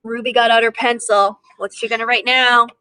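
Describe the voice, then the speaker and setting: A woman's voice: fairly high pitch, flat delivery, brisk, pushed and bright, clean tone, rough recording. A woman, an elementary teacher leading a read-aloud lesson for young children, asking questions and prompting as she goes.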